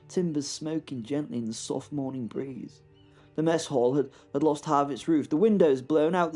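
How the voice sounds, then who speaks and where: A man with a British accent narrating a first-person horror drama in a wry, halting way, often repeating words, with faint music behind. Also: on the high side, dark tone. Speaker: podcast host and narrator.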